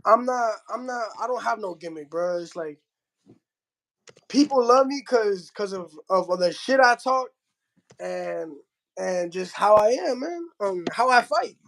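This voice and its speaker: Young Miami heavyweight, Spanish first language, high voice, slangy casual interview talk full of restarts. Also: male voice, animated, unhurried, vocal fry, fairly bright tone. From Miami, Florida. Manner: slangy, says bro a lot, frequent restarts. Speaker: heavyweight boxer.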